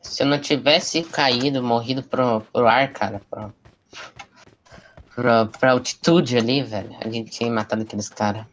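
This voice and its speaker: A young man, chatting casually and excitably while streaming a shooter game, repeating words and joking. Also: mid-range voice, normal speed, a little hiss or hum, poor audio. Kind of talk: casual gaming chat.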